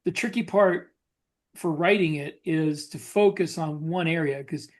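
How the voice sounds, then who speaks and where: A man co-hosting a casual podcast discussion as a writer, explaining things in a halting way. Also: a little high. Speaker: podcast co-host, science fiction writer.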